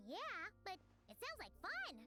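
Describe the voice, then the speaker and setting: A tiny fairy sidekick for a video game. The voice is extremely high and childlike, swooping animatedly with a cheeky, chirpy air, over prominent music. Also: female voice, wildly swooping pitch, measured pace, full character voice. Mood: cheerful.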